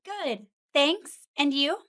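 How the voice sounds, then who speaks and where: A voice actress plays a friendly office colleague in an English lesson. Her voice is high and very bright. The welcoming lines are scripted. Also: animated, takes it slowly, heavily acted. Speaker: voice actor in English lesson dialogues. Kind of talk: scripted learner dialogue.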